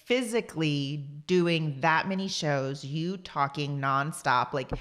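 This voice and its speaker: A woman's voice: low voice, takes it slowly, fairly bright tone. A woman co-hosts a casual podcast. She teases her partner and keeps chiming in with short agreements. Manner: teasing, frequent agreeing backchannels. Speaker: podcast co-host.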